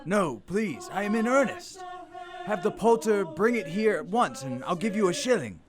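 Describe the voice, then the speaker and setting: A man playing a reformed old miser in an audio drama, giddy, warm and exclamatory, often repeating himself. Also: high-pitched, very animated, moderate pace. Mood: giddy, eager. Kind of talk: theatrical audio drama acting. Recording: music playing behind the voice.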